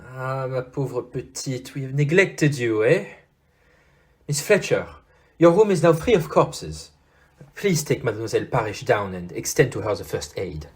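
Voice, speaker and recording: An actor as a theatrical, lively foreign detective. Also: male voice, mid-range voice, normal speed, natural voice. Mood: courteous, assured. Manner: formal phrasing peppered with French exclamations. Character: courteous, shrewd, theatrical foreign male detective. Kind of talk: audio play acting.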